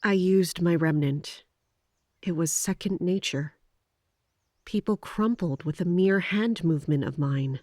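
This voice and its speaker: A woman playing a guarded, troubled military captain in an audio drama, speaking and narrating in a restrained, formal, deliberate way. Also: lowish voice, darkish tone, no room sound, studio quality. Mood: brooding. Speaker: lead actor and first-person narrator. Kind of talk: audio drama acting with inner monologue.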